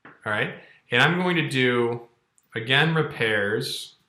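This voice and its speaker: A man's voice: medium pitch, animated, slow pace, sharp tone. A man in his thirties giving a casual, conversational tutorial as an instructor, explaining step by step and often checking in. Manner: conversational, explanatory, frequent check-ins like "okay" and "all right". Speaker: accounting course instructor. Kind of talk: casual screen-share tutorial. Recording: roomy, echoing indoor sound.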